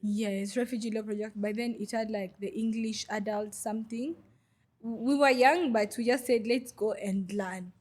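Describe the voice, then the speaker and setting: A woman's voice: a little high, steady tone, moderate pace, a little mellow. A young woman from Goma in the DR Congo, a podcast guest, who tells her story in a hesitant way with many fillers. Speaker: guest, refugee vendor. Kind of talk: podcast interview.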